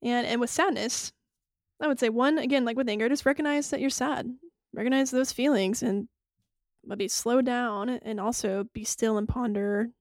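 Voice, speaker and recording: A young woman co-hosts a casual faith podcast, explaining points one by one and laughing easily. Her voice is higher and gravelly with vocal fry. The recording is dry and close. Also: fairly even intonation, normal speed, dry recording. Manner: warm, laughing, explains step by step. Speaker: podcast co-host.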